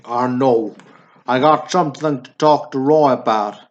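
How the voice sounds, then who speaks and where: An actor playing a meek, easily offended small-town store owner, earnest and defensive in an amateur radio play. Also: male voice, mid-range voice, unhurried, lightly acted. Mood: stiff, defensive. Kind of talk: amateur radio drama acting. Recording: dull lo-fi radio drama recording.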